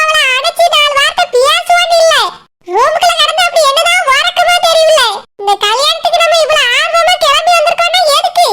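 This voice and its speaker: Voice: extremely high pitch, sharp tone. A performer voicing an aggrieved, melodramatic village mother in a comic cartoon, with a Kanyakumari Tamil accent. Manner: complaining, melodramatic. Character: aggrieved, loud-mouthed village mother of grown daughters.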